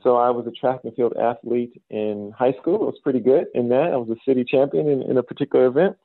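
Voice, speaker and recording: A middle-aged man with an American accent answers interview questions in a conversational, explanatory way. His voice has a creaky edge at an average male pitch. The sound is dry and muffled, like a remote call. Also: fairly even intonation, dry recording. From south side of Chicago, United States. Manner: explanatory, measured, often says "you know". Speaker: interview guest, business school dean.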